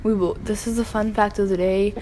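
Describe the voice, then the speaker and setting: A woman's voice: medium pitch, steady tone, brisk, mellow, a little hiss or hum. A young woman co-hosting a casual podcast, chatty and giggly as she tells stories. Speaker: podcast co-host. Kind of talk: casual chat. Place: indoors by windows with birds outside.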